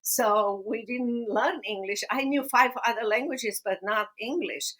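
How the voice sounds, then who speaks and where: A woman with a Romanian accent, hosting a podcast interview in an earnest, deliberate manner. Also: fairly high pitch, fairly bright tone. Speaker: podcast host. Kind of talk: podcast interview and personal storytelling.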